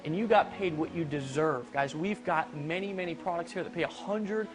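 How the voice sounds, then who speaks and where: A man gives a pushy sales pitch, his voice sitting fairly high as he talks straight at the viewer. Music plays clearly under him the whole way. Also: normal speed, low-quality recording. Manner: blunt, hard-selling, addresses viewers as "guys". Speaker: company founder presenting a business opportunity. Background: background music.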